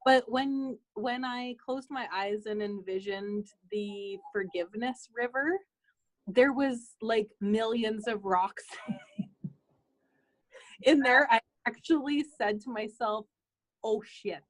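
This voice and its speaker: A woman's voice: fairly high pitch, slow, rough recording. A woman on a video call asking the teacher a question in a casual, hesitant way, with fillers and restarts.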